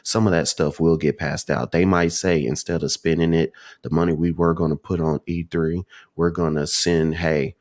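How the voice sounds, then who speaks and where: Male podcast panelist with a notably deep voice, chatting casually about games in a group. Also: steady tone, moderate pace, hardly any pauses, a little mellow, dry recording, studio quality.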